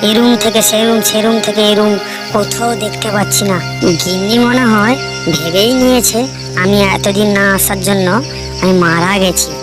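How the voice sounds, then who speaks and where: A woman's voice: medium pitch, normal speed, natural voice. A woman playing a proud, anxious male landlord in a cartoon story, with a declamatory, commanding manner. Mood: anxious, despairing. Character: proud, anxious male zamindar, head of household. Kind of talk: Bengali cartoon story dialogue. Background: loud background music.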